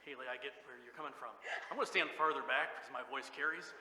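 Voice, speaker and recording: A man's voice: mid-range voice, fast, slight background noise. A man with a big, carrying voice, presenting as a school superintendent at a public hearing. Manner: loud, carrying voice. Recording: echoey hall with long reverb.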